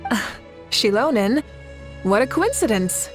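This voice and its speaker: A woman's voice: a little high, very animated, unhurried, soft background music. An actress playing a composed, confident young female leader in a video game, poised and wryly amused. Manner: poised, wry.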